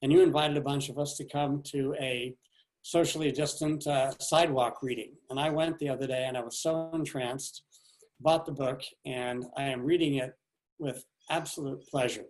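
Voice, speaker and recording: An older man hosting an interview over a video call from a large living room, introducing his guest in an admiring, unhurried way. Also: medium pitch, fairly even intonation, poor audio. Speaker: show host. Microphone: video call webcam.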